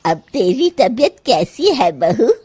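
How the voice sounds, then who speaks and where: A woman's voice: on the high side, very animated, slow pace, mellow, breathy edge, exaggerated voice. A woman voicing a harried older mother-in-law in a cartoon story, speaking in a scolding, exasperated, homely way. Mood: worried. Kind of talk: Hindi cartoon story voice acting.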